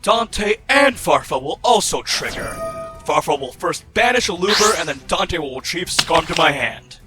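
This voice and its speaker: A man's voice: a little high, very animated, normal speed, bright, forceful tone, soft background music. A man playing a fierce, righteous card duelist in a fan roleplay, with theatrical, grandiose declarations. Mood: calculating, assured. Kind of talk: fan roleplay anime-style duel acting.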